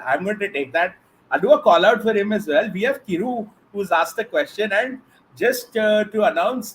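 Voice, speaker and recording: A man's voice: high voice, poor audio. A man with an Indian accent hosting and moderating a live question-and-answer show. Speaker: host. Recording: faint background noise.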